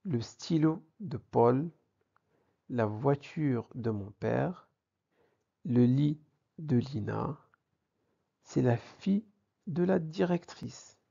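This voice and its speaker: Slow, husky male teacher. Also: medium pitch, warm tone, muffled recording. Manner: didactic, asks and answers own questions. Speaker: French language teacher. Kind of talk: grammar lesson with examples.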